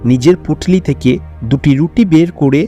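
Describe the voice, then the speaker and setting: A man's voice: a little high, moderate pace, warm tone, lo-fi sound, soft background music. A man narrating a scripted children's fairy tale as storyteller.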